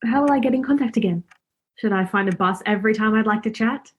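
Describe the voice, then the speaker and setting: Woman as Laura, chatty, slightly husky and cheeky. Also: medium pitch, fast talker, close to an everyday voice. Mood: cheeky, eager. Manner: informal, rambling, forthright. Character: Laura, chatty, cheeky, friendly young woman befriending Death. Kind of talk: radio play acting.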